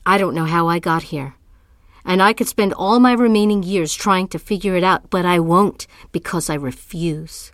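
A woman's voice: lowish voice, no room sound, studio quality, natural voice. An actress playing a tormented, self-doubting woman artist in a theatrical, poetic spoken-word monologue. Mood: weary, bitter. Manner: dramatic, poetic, deliberate.

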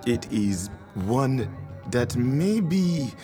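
An actor playing a mysterious, wry and ominous curse remover in a radio drama, speaking formally and cryptically with dramatic pauses. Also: male voice, mid-range voice, big pitch swings, dark tone, soft background music. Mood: ominous warning.

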